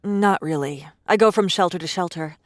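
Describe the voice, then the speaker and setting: A woman as a low-voiced, world-weary survivor woman. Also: moderate pace, no echo, clean studio sound, close to an everyday voice. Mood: tired, matter-of-fact. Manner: blunt, wary, world-weary. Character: bitter, guarded, athletic young woman surviving monsters. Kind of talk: audiobook drama acting.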